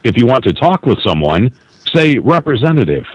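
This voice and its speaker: A man delivers scripted phone menu and hold messages with animated intonation. His mid-pitched voice is dry and comes over a telephone line. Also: moderate pace, husky, dry recording. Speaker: automated phone system announcer.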